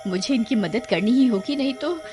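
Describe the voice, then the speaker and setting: A woman's voice: a little high, expressive, brisk, natural voice. A woman voicing an anxious, devoted wife in a cartoon horror story, speaking in plaintive, lamenting cries. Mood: distressed. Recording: music behind the voice.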